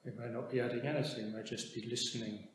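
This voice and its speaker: A man teaching a guided meditation in a calm, measured manner with long pauses. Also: mid-range voice. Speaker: Buddhist monk meditation teacher. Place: meditation hall. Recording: very echoey hall, faint background noise.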